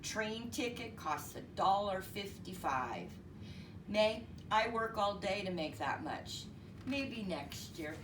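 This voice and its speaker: A woman's voice: medium pitch, expressive, slow pace. A woman voicing a plain-spoken, thrifty rural father in a storybook, folksy and matter-of-fact. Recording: roomy, distant mic, noisy.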